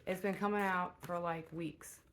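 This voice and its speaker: A soft-spoken woman giving a casual, rambling tarot reading, with many pauses and fillers. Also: slight background noise. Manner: relaxed and low-key. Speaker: tarot reader.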